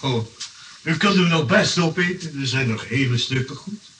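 An actor playing a sly, polite engineer in a radio play, coaxing and placating with persistent mock innocence. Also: male voice, fairly high pitch, expressive, normal speed, natural voice. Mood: mock-innocent. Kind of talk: Dutch radio play acting. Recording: distant mic, clearly noisy old recording.

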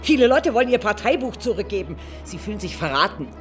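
A woman's voice: medium pitch, big pitch swings, fast talker, faint music behind, natural voice. An actress playing an agitated, hard-line communist woman in a radio drama, speaking urgently. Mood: alarmed, urgent.

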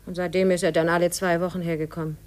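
A woman's voice: lowish voice, flat delivery, fast, darkish tone, lightly acted. An actress playing a blunt, resentful mother of a large family in a 1950s German radio drama. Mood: blunt, matter-of-fact. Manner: blunt, resentful, matter-of-fact. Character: embittered, blunt mother of seven, poor widowed-sounding cousin.

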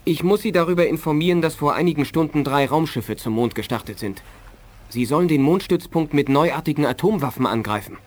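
Earnest captain, slightly high voice. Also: male voice, expressive, fairly quick. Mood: earnest, insistent. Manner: earnest, formal. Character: earnest male intelligence captain, secretly sympathetic defector. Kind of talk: 1980s German science-fiction radio play acting.

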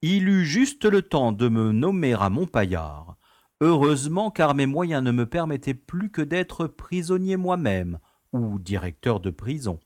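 Man acting as urbane prison director, wry, genteel, expressive mid-pitched voice, close dry sound, audio drama. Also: a little slow, dry recording. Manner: wry, nostalgic, genteel storytelling. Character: urbane, easygoing prison director, former playboy.

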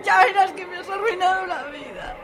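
A woman's voice: extremely high pitch, brisk, crowd noise. An actress from a radio serial playing a distraught, hot-tempered young woman with a Spanish accent and a dramatic, faltering delivery. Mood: furious, dramatic. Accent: Spain. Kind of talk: audio drama acting.

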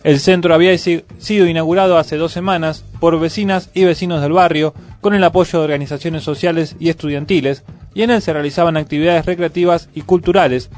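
A man's voice: on the high side, steady tone, a little fast, few pauses, a little mellow. A man with an Argentine Rioplatense accent reading scripted news on the radio, as a presenter. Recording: music playing under the voice. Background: music bed.

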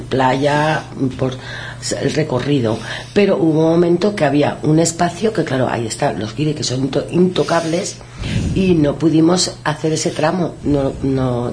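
A woman co-hosting a radio talk show, telling her experiences in animated fashion and agreeing often. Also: rumbling low, slight background noise. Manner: animated storytelling, many affirmations. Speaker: radio co-host. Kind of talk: casual radio discussion.

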